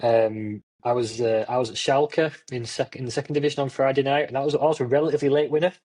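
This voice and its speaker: A man, a football journalist, talking casually on a podcast with plenty of pauses and restarts. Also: mid-range voice, steady tone, darkish tone. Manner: rambling with many ums and restarts.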